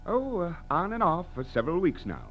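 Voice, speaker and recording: Fussy, animated hotel manager. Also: male voice, high-pitched, a little fast. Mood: genteel, obliging. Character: genteel, fussy male hotel manager, trusting and flustered. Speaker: actor playing a hotel manager. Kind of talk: 1940s radio acting. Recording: hissy old radio recording, hum.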